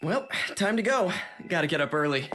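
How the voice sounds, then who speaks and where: An actor playing an earnest, friendly, slightly awkward student with a polite, hesitant delivery in an anime audio drama. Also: male voice, a little high, very animated, fairly quick, a bit bright, a little hiss or hum.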